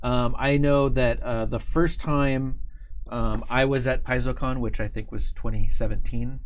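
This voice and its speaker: A man's voice: medium pitch, steady tone, measured pace, a little hiss or hum. A man hosting a livestream in casual, unscripted chat. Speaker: host of a company livestream.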